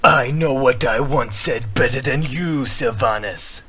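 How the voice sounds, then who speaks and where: Proud troll war leader: grave, emphatic dialect, very slow and deliberate fantasy game delivery. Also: male voice, mid-range voice, a bit bright, husky, soft background music, pitched above the performer's own voice. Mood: grave, forceful.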